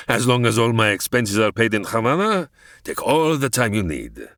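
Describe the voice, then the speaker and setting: A man's voice: medium pitch, big pitch swings, a little slow, a bit bright, no room sound, clean studio sound. A man playing a smarmy Cuban policeman with a Cuban Spanish accent and polite menace, in a comedy audio drama. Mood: smug, leering. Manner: giggling, leering, polite menace.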